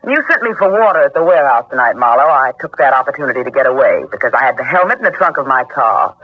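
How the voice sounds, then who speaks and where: An actress playing a nervy, hot-headed murderous wife in a 1940s radio crime drama, defiant and snappish. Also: female voice, rumbling low, big pitch swings, fast talker, exaggerated voice. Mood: defensive, agitated. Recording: dull vintage 1940s radio recording.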